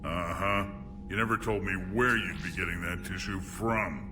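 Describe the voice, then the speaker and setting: An actor playing a gruff, suspicious alien warrior chief in a video game, with a gravelly voice. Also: male voice, low voice, normal speed, distant mic, music in the background, close to an everyday voice. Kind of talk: video game cutscene acting.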